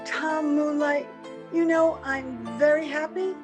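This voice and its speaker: A woman's voice: high voice, fairly even intonation, slow pace, over music. A woman playing a romantic, naive young bride, happy yet anxious, in an old-time radio drama. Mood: tender, fearful.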